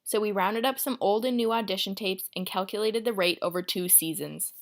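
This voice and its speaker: A woman's voice: mid-range voice, a little fast. A woman reading an explanatory narration for a school-style project. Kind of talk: read narration.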